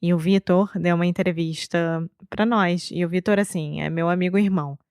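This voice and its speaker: Carioca woman cohosting a podcast, low voice, fast lively chat, laughs a lot, dry close mic. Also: smooth, dry recording. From Brazil. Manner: lively, laughing, quick bursts of talk. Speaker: podcast co-host. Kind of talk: casual conversational chat.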